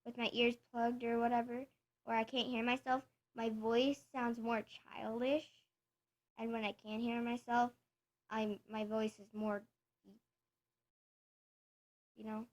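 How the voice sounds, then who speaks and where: A woman's voice: fairly high pitch, flat delivery, measured pace, long pauses. A woman vlogger chatting casually to her viewers, rambling and correcting herself as she explains things. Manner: rambling, self-correcting, soft-spoken. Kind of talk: casual chat to camera.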